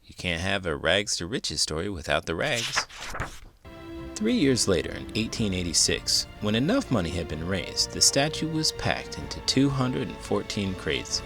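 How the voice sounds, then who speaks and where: A man reading a children's book aloud as a host, with dramatic, emphatic delivery. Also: fairly low pitch. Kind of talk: expressive storybook read-aloud.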